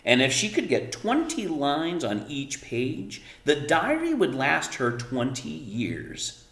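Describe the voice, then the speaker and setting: A man's voice: a little high, huge pitch swings, slow, creaky, a bit bright. A man reading a children's story aloud expressively and emphatically, adding casual explanations as he goes. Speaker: read-aloud narrator explaining words to children. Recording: roomy, echoing room sound.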